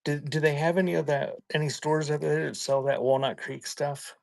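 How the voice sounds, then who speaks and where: Folksy man in casual, halting chat. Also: a little high, steady tone, creaky, dry recording, clean studio sound. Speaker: live auction seller hosting a stream. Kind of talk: casual rambling chat while showing items for sale.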